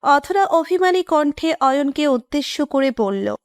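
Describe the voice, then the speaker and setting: High-pitched woman narrating a story. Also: animated, a little slow, no echo, studio quality.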